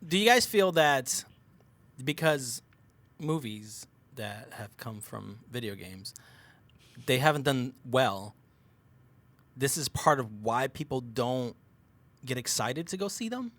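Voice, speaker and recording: A man's voice: mid-range voice, expressive, slow, pauses often, fairly bright tone, no echo. A man chatting casually with friends as a podcast co-host. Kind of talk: casual group chat.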